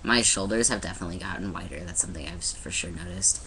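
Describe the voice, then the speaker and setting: A man's voice: low-pitched, fast talker, bright, forceful tone, slight background noise, rough recording. A young man talking casually to his camera in a personal video diary, rambling with many restarts and fillers. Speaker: vlogger. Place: indoors in front of a light.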